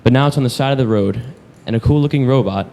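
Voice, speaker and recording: A man's voice: mid-range voice, fast talker, vocal fry, dark tone, slight background noise. A young man setting up an example in an instructive way.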